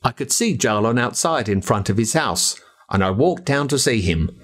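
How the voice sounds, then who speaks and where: A man with an Australian accent narrating a spooky children's story in an expressive, suspenseful, first-person storytelling manner. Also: medium pitch, normal speed. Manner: expressive, suspenseful storytelling as a boy recalling events. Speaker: audiobook narrator.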